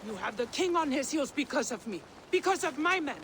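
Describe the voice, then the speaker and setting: An actor playing an insolent, greedy sellsword in a video game cutscene. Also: male voice, very high-pitched, very animated, a little fast, background noise, exaggerated voice. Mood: angry defiance. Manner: insolent, demanding. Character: defiant, greedy sellsword haggling for pay.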